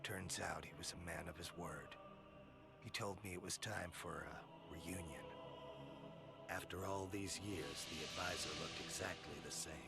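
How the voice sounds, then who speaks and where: An actor playing a vengeful, broken war veteran driven insane, narrating a dark video game monologue in a grim, breathy growl. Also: male voice, low-pitched, fairly even intonation, a little slow. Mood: dark, brooding. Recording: loud music under the voice.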